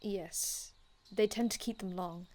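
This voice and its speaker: A woman's voice: mid-range voice, fairly even intonation, takes it slowly, a little mellow, close to an everyday voice. A woman playing a courteous, ancient vampire healer in an ASMR roleplay, speaking formally and reassuringly with archaic phrasing and small stammers. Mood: gently reassuring. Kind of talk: ASMR roleplay, addressing the listener.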